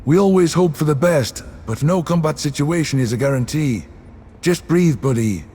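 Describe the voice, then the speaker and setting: A man's voice: fairly high pitch, expressive, warm tone, natural voice. Man playing a stern soldier in echoing reverb. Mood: calm, reassuring. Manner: stern, measured delivery. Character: stern, calm human soldier, loyal friend to alien comrade. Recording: long echoing reverb, background noise.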